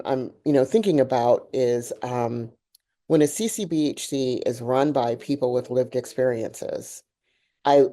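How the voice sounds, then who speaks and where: Podcast host, woman, deep and mellow voice, candid and animated. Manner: candid, animated, laughs while telling a story. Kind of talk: conversational interview with personal storytelling.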